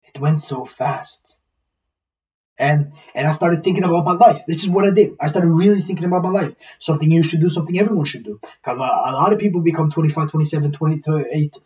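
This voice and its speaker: A man's voice: on the high side, fast talker, darkish tone, distant mic, low-quality recording. A man of about twenty talking to camera in a rambling, reflective casual monologue, repeating himself and swearing now and then. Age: 20s. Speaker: vlogger. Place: small plain indoor room.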